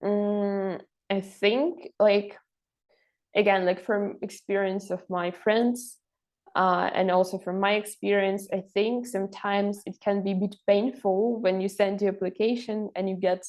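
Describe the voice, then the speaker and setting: A woman's voice: mid-range voice, steady tone, a little slow. A young woman in her twenties whose first language is Russian, answering interview questions in a hesitant, casual way with frequent fillers. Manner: hesitant, many "like" and "you know" fillers, laughs softly.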